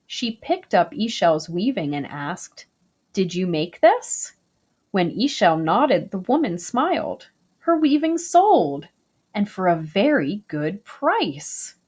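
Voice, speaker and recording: Slow, lively woman reading a picture book. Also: fairly low pitch, breathy edge. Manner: slow, gentle, expressive storytelling. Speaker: storybook reader. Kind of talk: children's picture book read-aloud.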